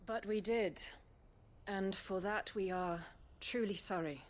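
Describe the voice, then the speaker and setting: A woman's voice: medium pitch, slow pace, natural voice. An actress playing an earnest, remorseful townswoman in a fantasy game, solemn and formal. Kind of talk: dramatic video game dialogue.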